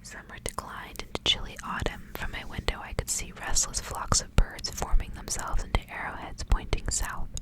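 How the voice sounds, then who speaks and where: Female ASMR reader for literary fiction. Whispers throughout, breathy and gentle, narrating in short phrases. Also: moderate pace, few pauses, rough recording. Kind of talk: ASMR whispered storybook reading.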